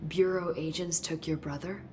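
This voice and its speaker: An actress playing a curious, earnest researcher in a video game, precise and explanatory. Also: female voice, lowish voice, steady tone, normal speed, lightly acted. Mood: inquisitive. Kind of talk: game cutscene dialogue. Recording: noisy background ambience.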